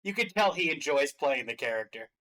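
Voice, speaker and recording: A man's voice: on the high side, expressive, fairly quick, bright, forceful tone. A man hosting a stream, giving casual reaction commentary.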